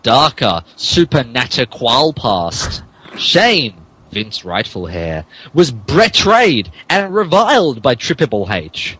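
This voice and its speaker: A man's voice: mid-range voice, sharp tone, slight background noise, low-quality recording. A man narrating a comic dramatic reading in a mock-serious way, often stumbling over his words. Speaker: podcast host reading as narrator.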